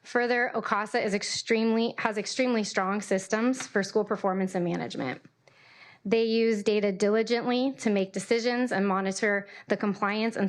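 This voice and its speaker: A woman, giving a prepared, formal statement of support at a public meeting. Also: medium pitch. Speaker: parent speaking as public commenter.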